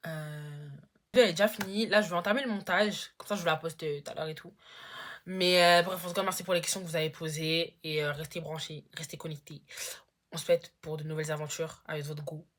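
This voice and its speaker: Teenage girl, vlogger, chatty, slangy, low-ish voice. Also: fairly even intonation, fast, a bit bright. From Congo-Brazzaville. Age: teens. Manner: chatty, lots of fillers, slangy. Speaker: YouTuber. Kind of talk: casual Q&A vlog.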